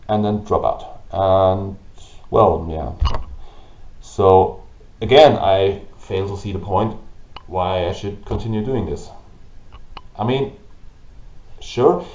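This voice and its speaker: A man with a German accent, whose first language is German, hosting a gaming channel and ranting slowly and haltingly about it to camera. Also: fairly low pitch, mellow. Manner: halting, with restarts and pauses. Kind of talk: frustrated rant to camera. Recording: roomy, dull lo-fi audio.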